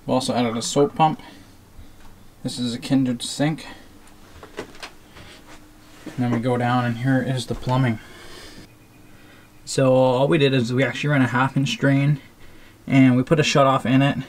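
A man's voice: mid-range voice, flat delivery, unhurried, long pauses. A man giving a casual, hands-on how-to narration as a DIY video host. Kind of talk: casual how-to narration of a trailer plumbing setup. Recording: clear background noise.

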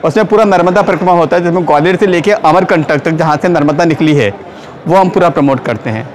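A man's voice: on the high side, fast talker, slight background noise. A middle-aged man from Gwalior, a tourism escort, steadily listing destinations and tour packages. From Gwalior, India. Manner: steady, informative, lists places and packages. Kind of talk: casual interview answer. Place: indoor exhibition hall stall. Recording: busy expo crowd behind.

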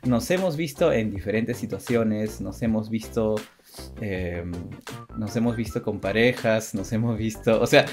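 A man's voice: on the low side, measured pace. A young man co-hosting a casual video podcast, hesitant and rambling, often repeating his phrases. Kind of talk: casual chat. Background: background music.

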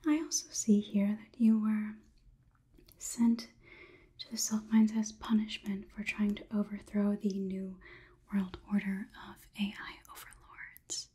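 Casting: woman playing an officious female AI overlord for ASMR roleplay; very breathy, soft whisper, formal and condescending. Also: medium pitch, monotone. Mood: coolly condescending. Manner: measured, formal, softly officious. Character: polite, condescending female robot overlord examining a human.

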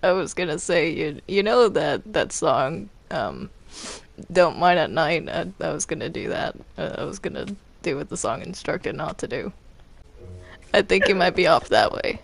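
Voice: medium pitch, very animated, normal speed, vocal fry. A video game player chatting casually during play, hesitant and stammering over repeated words. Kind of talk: casual gaming chat while playing Minecraft roleplay.

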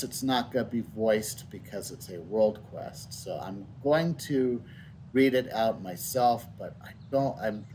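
A middle-aged man livestreaming a game, chatting casually in a rambling, halting way and reading out on-screen text. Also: on the low side, darkish tone, slight background noise, low-quality recording. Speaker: game streamer. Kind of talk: casual livestream chat and read-out. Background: game music.

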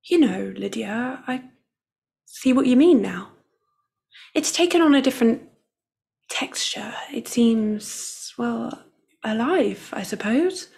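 A woman playing a flustered woman anxiously searching for her missing sister in a radio play, husky and creaky. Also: fairly high pitch, lively intonation, slow, long pauses, a little mellow, heavily acted. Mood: wistful.

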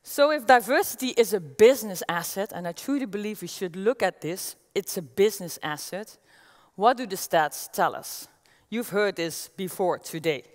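A woman's voice: medium pitch, normal speed. Dutch woman giving a keynote in a hall. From Netherlands. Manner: energetic, gestures as she walks the stage. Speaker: keynote speaker. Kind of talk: conference keynote talk. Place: large auditorium stage with audience. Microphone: headset mic. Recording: long reverb of a large hall.

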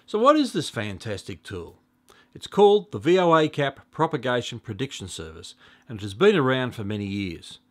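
A man in his fifties presenting an explanatory tutorial in a measured, instructional way, with clear enunciation. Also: mid-range voice, very animated. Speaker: presenter of a shortwave radio review. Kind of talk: explanatory tutorial to camera and over screen demo. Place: small indoor home radio room.

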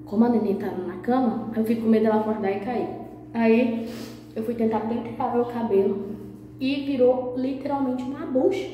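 A woman in her thirties, hoarse and nasal from a sore throat, talking softly to a phone camera for her vlog in a small bathroom. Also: steady tone, normal speed, a little hiss or hum. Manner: speaking softly, low voice. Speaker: vlogger. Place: small tiled bathroom indoors. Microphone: phone camera at arm's length. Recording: muffled echoey bathroom.

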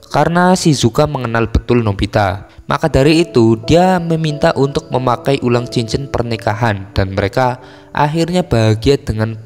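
A man's voice: medium pitch, a little mellow. A man narrates a film recap from a script, in an informal storytelling manner with casual slang asides. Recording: voice-over with long reverb over music. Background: music.

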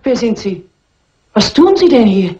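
An actress playing a timid, easily upset lady's companion in a German radio crime drama, anxious, prim and husky. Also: on the high side, big pitch swings, a little slow, dark tone, muffled recording, heavily acted. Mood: shocked, accusing.

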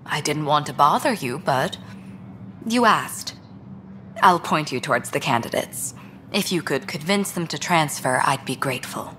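Measured, low-voiced alien ambassador. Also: female voice, lively intonation, a bit bright, a little hiss or hum. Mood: courteous, measured. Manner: polite, measured, diplomatic. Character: composed, courteous alien woman ambassador. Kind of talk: video game dialogue.

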